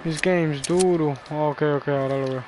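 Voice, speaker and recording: A man's voice: a little high, fairly even intonation, measured pace. A man from El Salvador streaming a shooter game live, chatting with his teammate and viewers and repeating a half-English catchphrase. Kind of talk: live gameplay chat. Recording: noisy game audio behind.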